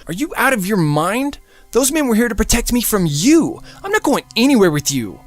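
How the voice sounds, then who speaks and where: Here is a frightened young woman in love, acted out by a male narrator in an audiobook. Her voice is high and breathless, full of disbelief and questions, with music underneath. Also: big pitch swings, moderate pace, no room sound. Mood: indignant, afraid.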